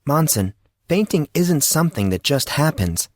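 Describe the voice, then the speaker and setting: A man plays a teenage schoolboy friend in an audiobook, polite and quietly knowing. His delivery is calm, measured and a touch apologetic, lifted above a low adult voice, with a dry sound. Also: no room sound. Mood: concerned, polite. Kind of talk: acted audiobook dialogue.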